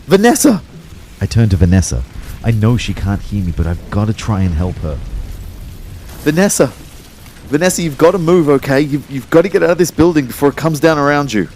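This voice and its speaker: A man's voice: mid-range voice, a little fast, a little hiss or hum. A young man playing an anxious narrator of his own out-of-body ordeal in an audio drama. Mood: desperate pleading. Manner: expressive, shifting from hushed narration to urgent pleading. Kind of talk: first-person audio drama narration with acted dialogue.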